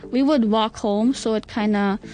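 A teenage girl shares a personal story in a soft, hesitant voice, pausing as she goes. Faint music plays underneath her. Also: a little high, fairly even intonation. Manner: soft, hesitant, slow pauses. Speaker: student guest.

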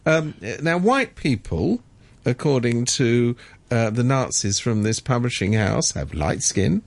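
Gravelly, indignant male radio commentator. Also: mid-range voice, very animated, a little slow. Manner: indignant, sardonic, many restarts. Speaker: regular commentator. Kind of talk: radio studio discussion.

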